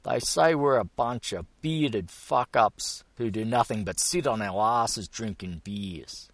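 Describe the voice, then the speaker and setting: A man's voice: on the low side, takes it slowly, no echo. A man voicing a blustering, boastful bearded man who pushes his point hard. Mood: earnest. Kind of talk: audiobook character voice.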